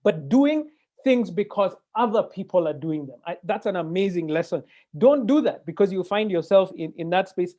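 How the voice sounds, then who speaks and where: A man's voice: sits high, expressive, moderate pace, warm tone. Kenyan man hosting over dull call audio. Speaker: webinar moderator. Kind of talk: conversational webinar hosting. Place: indoor room, video call. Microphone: earphone mic on video call.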